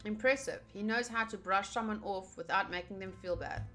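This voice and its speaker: A woman's voice: medium pitch, brisk, bright, forceful tone. A young woman, reading a visual novel aloud and commenting casually and playfully as she streams. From United States. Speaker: game streamer. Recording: voice over game music. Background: game music.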